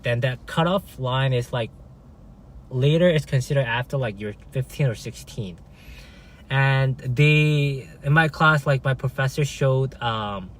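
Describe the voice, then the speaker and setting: A man whose first language is Korean, chatting casually on a live stream, halting, with many fillers and restarts and frequent "right?" checks. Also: mid-range voice, slight background noise, low-quality recording. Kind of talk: casual live-stream chat, reading viewer comments.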